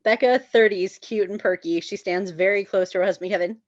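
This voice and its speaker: A woman's voice: mid-range voice, fairly quick, dry recording, muffled recording. A woman narrating screenplay stage directions at a table read.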